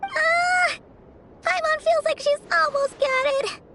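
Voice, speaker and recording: A woman voicing a small, excitable, childlike fairy companion in a video game, cheeky and squeaky. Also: slow pace, a little hiss or hum, full character voice. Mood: excited. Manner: exclamatory, speaks of herself in third person. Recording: music behind the voice.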